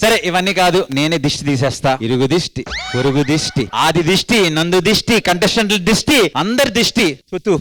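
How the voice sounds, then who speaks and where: Quick, higher-voiced man bantering. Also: a bit bright, low-quality recording. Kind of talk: playful stage banter. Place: TV dance show stage. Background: faint music.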